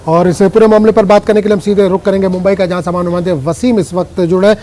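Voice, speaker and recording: A male news anchor with a high-set, mellow voice. He reads the news and puts questions in a formal, measured broadcast manner. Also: fairly even intonation.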